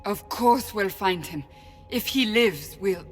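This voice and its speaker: A woman's voice: medium pitch, expressive, slow. An actress playing a stern, devout woman warrior in a video game, speaking formally and earnestly. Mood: somber resolve. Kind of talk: dramatic video game acting. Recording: music behind the voice.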